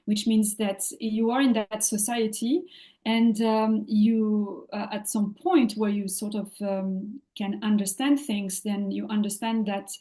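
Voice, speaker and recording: Woman, warm mellow tone; halting, reflective interview answers. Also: mid-range voice, fairly even intonation. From France. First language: French. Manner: thoughtful, halting, many fillers and restarts. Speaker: podcast guest, leadership coach. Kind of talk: interview conversation.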